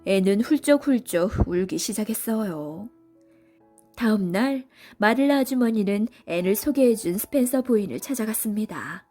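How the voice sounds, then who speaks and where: A woman's voice: mid-range voice, animated, moderate pace, warm tone, soft background music. A woman reading scripted narration for a children's story as a storybook narrator.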